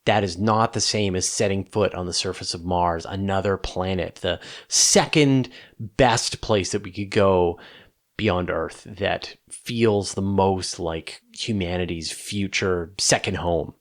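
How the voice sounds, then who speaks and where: A man's voice: low voice, slow. A man hosting a question-and-answer show, explaining off the cuff in an emphatic, conversational way with rhetorical questions and repetition.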